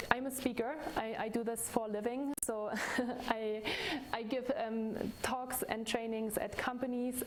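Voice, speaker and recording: A woman's voice: medium pitch, slight background noise. A woman in her thirties from Germany with a German accent, giving a chatty live talk to an audience as a stress management coach. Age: 30s. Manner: friendly, chatty, with restarts. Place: room with audience.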